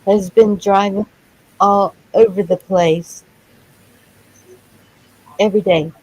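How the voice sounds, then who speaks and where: A woman chatting casually in a halting way, often trailing off. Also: mid-range voice, fairly even intonation, a little mellow, rough recording. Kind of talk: casual chat.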